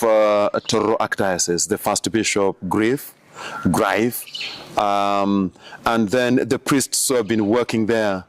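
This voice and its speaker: A man in his forties from Uganda, a missionary priest answering interview questions slowly, with many pauses and fillers. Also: fairly low pitch. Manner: slow, halting, many fillers.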